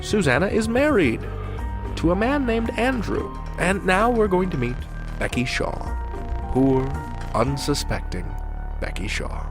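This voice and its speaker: A man hosting a podcast, reading a scripted introduction with wry, deadpan humor. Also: medium pitch. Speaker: podcast host. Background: music.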